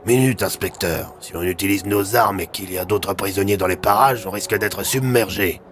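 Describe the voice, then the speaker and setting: Un homme qui interprète un commissaire de police pragmatique et prudent, au ton sec et directif, dans une fiction audio. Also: male voice, lowish voice, normal speed, a bit bright, slight background noise, lightly acted. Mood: cautious, commanding. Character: pragmatic, cautious male police commissioner leading a group. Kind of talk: audio fiction character acting.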